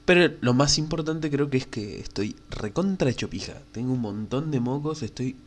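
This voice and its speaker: A man's voice: mid-range voice, lively intonation, moderate pace, a crowd in the background. A young man with a Rioplatense Argentine accent, hosting a casual solo podcast while he has a cold, with a stuffed nose and breathing through his mouth. He rambles frankly and crudely, with plenty of fillers. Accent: Rioplatense Spanish. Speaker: podcast host.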